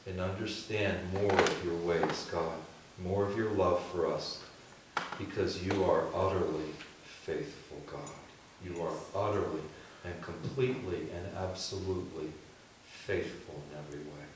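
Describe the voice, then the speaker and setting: Deep-voiced man in an echoey room. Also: unhurried. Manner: prays aloud, laughs easily, hesitant restarts. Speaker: host interviewing a guest. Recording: echoey room, distant mic, background noise.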